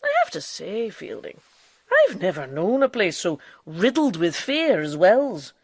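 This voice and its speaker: A woman's voice: fairly low pitch, very animated, a little slow, slightly rough, no room sound, exaggerated voice. An actress in a radio drama playing an impudent, boastful female quack doctor who lives as a man. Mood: triumphant, probing. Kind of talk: radio drama acting with narration.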